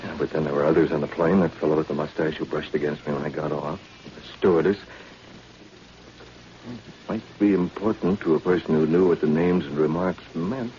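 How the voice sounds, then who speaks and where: A man's voice: a bass voice, fairly even intonation, normal speed, mellow. A man playing a courteous, easily flustered newspaper reporter hero, gallant and hesitant, in 1940s radio drama style. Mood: puzzled, worried. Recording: dull, noisy vintage radio recording.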